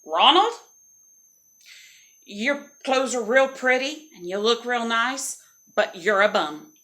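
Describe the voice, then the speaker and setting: A woman's voice: on the high side, unhurried, bright, forceful tone. A woman storyteller voicing a bold, clever young princess with an emphatic, coaxing manner. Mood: blunt. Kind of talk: animated oral storytelling.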